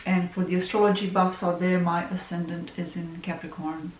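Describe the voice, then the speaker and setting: A woman from Romania with a Romanian accent, a tarot reader introducing herself in a casual, soft, musing way with lots of ums. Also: fairly low pitch, little pitch movement, normal speed. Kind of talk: casual self-introduction vlog. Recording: echoey room with faint hiss.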